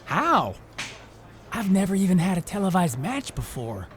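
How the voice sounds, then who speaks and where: A man's voice: sits high, sing-song, moderate pace, slight background noise. A man playing an eager, chatty, starstruck young rookie wrestler in a video game cutscene. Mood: friendly, eager.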